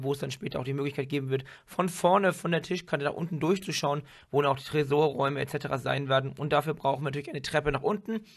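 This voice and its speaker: A man with a hoarse voice from a cold, hosting his building vlog in a casual, chatty way and correcting himself as he goes. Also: medium pitch, fairly bright tone. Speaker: vlog host and model builder. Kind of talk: casual chat and building voice-over.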